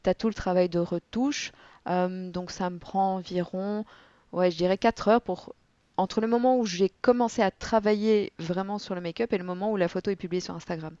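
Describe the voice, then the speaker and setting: A young woman speaking as a guest on a casual podcast, animated and chatty as she tells her stories. The audio is dull and lo-fi, and dry, with no room sound. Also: medium pitch, moderate pace, dry recording. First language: French. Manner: lively storytelling, animated. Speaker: guest, board game content creator. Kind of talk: relaxed podcast interview.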